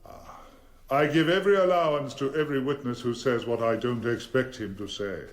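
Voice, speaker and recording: An actor playing a formidable, sardonic defence barrister, questioning insistently in a radio courtroom drama. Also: male voice, medium pitch, steady tone, normal speed, close to an everyday voice. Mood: stern, probing. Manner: insistent, probing cross-examination. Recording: roomy, echoing courtroom-like sound.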